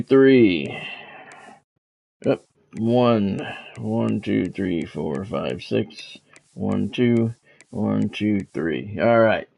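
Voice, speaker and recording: A man's voice: mid-range voice, gravelly. A man streaming a sports video game, mixing casual chat to viewers with play-by-play commentary and repeating himself as he goes.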